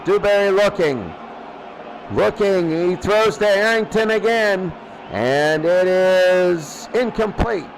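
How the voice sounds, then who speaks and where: A man with a high voice gives live play-by-play of a football game in short, clipped calls, often repeating himself. The radio broadcast recording has clear noise running under his voice. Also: takes it slowly. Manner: repeats phrases, clipped present-tense calls. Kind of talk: live radio sports commentary.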